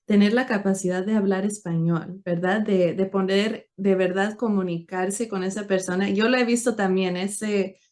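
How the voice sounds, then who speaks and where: A woman's voice: mid-range voice, steady tone, moderate pace, hardly any pauses, darkish tone. A woman hosting a conversational interview, speaking in an encouraging, effusive way. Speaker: interviewer, show host; attorney.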